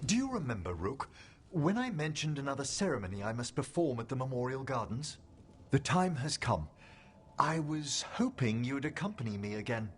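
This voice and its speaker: A man's voice: medium pitch, very animated, normal speed, slight background noise, natural voice. A man playing a courteous, reflective older necromancer scholar in a video game, with formal, theatrical, erudite phrasing. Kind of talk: video game cutscene acting. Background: music.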